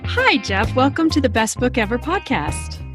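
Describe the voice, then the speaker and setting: A woman hosting a book podcast, talking in an expressive, emphatic way with drawn-out words. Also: sits high, music in the background. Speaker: podcast host and interviewer. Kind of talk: podcast intro and book chat.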